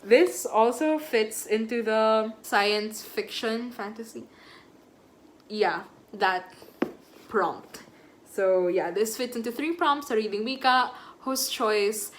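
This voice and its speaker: A woman in her twenties from the Philippines, chatting casually and self-deprecatingly as a book vlogger at home. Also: medium pitch. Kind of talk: casual chat.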